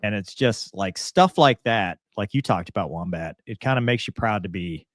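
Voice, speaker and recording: Low-voiced man in a dry studio sound. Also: lively intonation, normal speed, a bit bright, no echo. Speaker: show host and commentator. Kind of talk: casual panel discussion about aviation.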